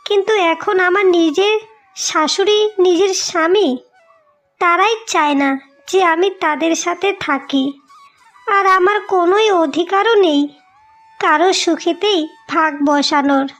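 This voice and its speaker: A woman's voice: very high voice, unhurried, long pauses, a bit bright. A woman voicing a wronged, pleading young village wife in a melodramatic cartoon. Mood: sorrowful. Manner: plaintive, pleading.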